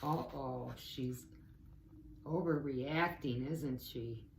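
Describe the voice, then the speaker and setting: An American woman, middle-aged, reading a children's story aloud expressively and pausing to talk to her young listeners. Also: very deep voice, vocal fry, darkish tone, a little hiss or hum. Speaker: teaching assistant reading to children.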